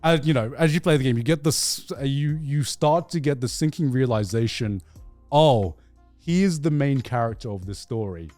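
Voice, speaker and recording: A man chatting casually about video games as a podcast host, telling it in an animated, profane way with halting repeats. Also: mid-range voice, no room sound, soft background music. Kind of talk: casual video game chat.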